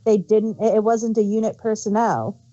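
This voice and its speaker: A woman with a mellow, soft-edged voice chats informally as a podcast co-host. Her pitch is average, and the sound is very dry and slightly dull. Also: steady tone, moderate pace, no echo. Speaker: podcast co-host reviewing audio dramas. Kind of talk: casual discussion.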